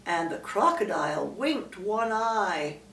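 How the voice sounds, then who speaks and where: A woman's voice: mid-range voice, animated, slow, fairly bright tone, slight background noise. An elderly woman, a great-grandmother, reading a children's story aloud and adding small asides to the listener. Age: older, great-grandmother. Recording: roomy, echoey, slightly distant mic.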